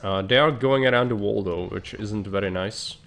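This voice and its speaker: A man's voice: on the low side, moderate pace, creaky, a bit bright. A man streaming live, thinking aloud in dry, blunt remarks as he plans a city build. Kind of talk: live gameplay commentary.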